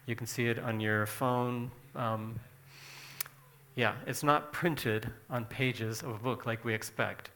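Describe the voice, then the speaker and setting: Man presenting slides, plain talky explainer, mid-pitched voice. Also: steady tone, slow, a bit bright.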